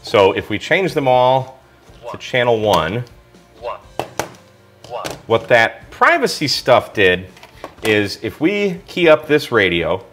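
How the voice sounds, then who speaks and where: A man's voice: mid-range voice, big pitch swings, slow pace, long pauses, a bit bright, faint music behind. A man talking informally through a product review, explaining things step by step in a casual way.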